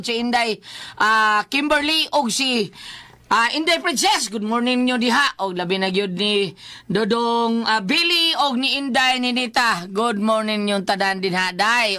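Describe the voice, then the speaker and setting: A woman radio host with a Cebuano Bisaya accent and a very high voice. She speaks in a dry, close sound, pitching sponsors and sending greetings in an emphatic, conversational way. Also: sharp tone, no echo. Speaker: radio show host reading sponsor plugs. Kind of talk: live radio ad-libbed advertising and greetings.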